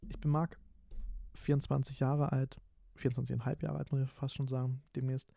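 A man's voice: mid-range voice, little pitch movement, brisk, gravelly, mellow, no echo, studio quality. A man in his twenties from Berlin hosting a solo podcast, talking casually and rambling with lots of fillers and restarts. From Berlin, Germany. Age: 20s. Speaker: podcast host.

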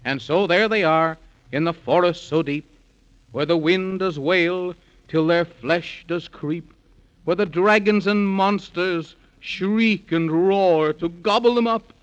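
A man's voice: high voice, takes it slowly, clean tone. A man narrating a 1930s children's radio story in dramatic, sing-song rhyme, on a muffled vintage recording.

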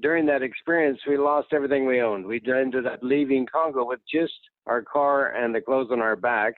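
A man in his sixties, a retired general and guest, telling his life story in a relaxed, plainspoken way. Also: medium pitch, moderate pace, no room sound. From United States. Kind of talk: casual interview talk. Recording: tinny phone line, dry.

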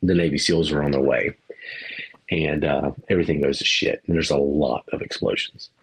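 Low husky man rambling about a movie. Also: steady tone, normal speed, poor audio. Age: adult parent. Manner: rambling, laughs often, swears casually. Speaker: podcast co-host. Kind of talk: casual movie review chat.

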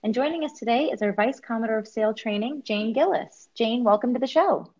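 A woman co-hosting a podcast, introducing the show and asking questions in a measured way. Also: fairly high pitch, darkish tone, highs cut off. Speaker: podcast co-host.

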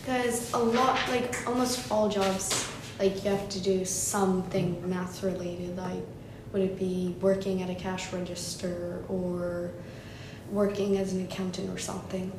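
A woman's voice: flat delivery, a little slow. A teenage female student sharing her views in a casual discussion. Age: teens. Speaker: school student. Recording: roomy, clear rumbling background noise.

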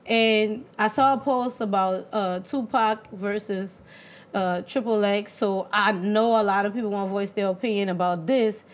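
A woman's voice: medium pitch, steady tone, moderate pace. A woman hosting a casual chat show, playful and teasing as she hypes the episode. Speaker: podcast host.

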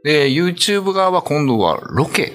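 A man's voice: fairly high pitch, very animated, slow pace, a bit bright, faint music behind. A man co-hosting a talk show in a studio, chatting casually and readily chiming in to agree. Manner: responsive, frequent agreeing interjections. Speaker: show co-host, narrator and voice performer.

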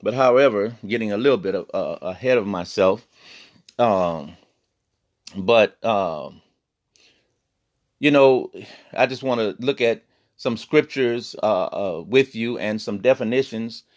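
A man teaching a Bible lesson on a podcast, earnest, with many fillers and restarts. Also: on the low side. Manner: reads scripture in slow old-fashioned cadence.

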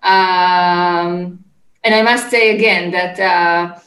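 A middle-aged woman with an Israeli accent, answering interview questions conversationally in a halting, searching way. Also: lowish voice, steady tone, a bit bright, echoey room, faint music behind. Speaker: interview guest, startup executive. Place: home office, remote call.

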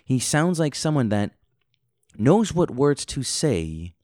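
A man co-hosting a casual book discussion, relaxed, with joking asides. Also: mid-range voice, takes it slowly, no echo, studio quality. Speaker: podcast co-host.

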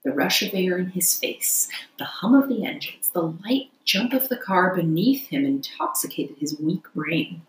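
A woman's voice: on the low side, expressive, unhurried, roomy sound, low-quality recording. A woman narrating a classic children's story expressively, as an audiobook reader.

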